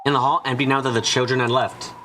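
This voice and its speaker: A man's voice: medium pitch, flat delivery, fast talker, bright, forceful tone, faint music behind. A man reading scripted story narration as the narrator of a fan-dubbed visual novel.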